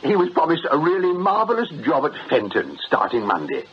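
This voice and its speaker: An actor playing a jovial, wily British swindlers' ringleader in a radio drama, with an ironic, chuckling, avuncular way of talking. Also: male voice, on the high side, huge pitch swings, fairly quick. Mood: amused, brisk. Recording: dull radio recording, faint noise.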